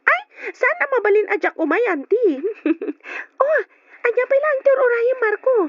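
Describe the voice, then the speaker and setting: Bubbly high-pitched young friend. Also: female voice, big pitch swings, moderate pace, dry recording. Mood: excited. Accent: Ilocano. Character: bubbly young woman, affectionate friend of the nephew. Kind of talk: old Ilocano radio drama acting. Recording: dull lo-fi radio drama recording.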